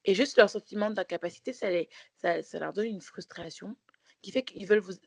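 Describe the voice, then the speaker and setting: A woman's voice: mid-range voice, fairly quick, gravelly, breathy edge, dry recording. A woman co-hosting a podcast, telling a story in casual chat with many restarts and fillers. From Belgium. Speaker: podcast co-host.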